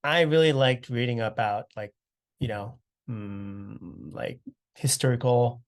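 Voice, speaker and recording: A man in his thirties from South Korea, answering oral history questions over a video call, relaxed and full of "uh" and "you know". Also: medium pitch, takes it slowly. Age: 30s. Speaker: interviewee.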